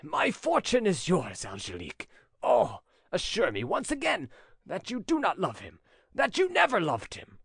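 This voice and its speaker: A narrator voicing an ardent, jealous French gentleman suitor, pleading and impassioned, in audiobook dialogue. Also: medium pitch, wildly swooping pitch, unhurried, creaky, no room sound, studio quality, pitched above the performer's own voice, exaggerated voice. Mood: anxious pleading.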